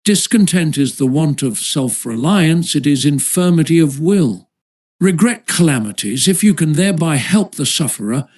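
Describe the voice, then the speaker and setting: A man narrates a classic essay in audiobook style. His voice sits a little higher than average for a man. The delivery is formal and measured, shaped like careful literary reading. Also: animated, a little mellow.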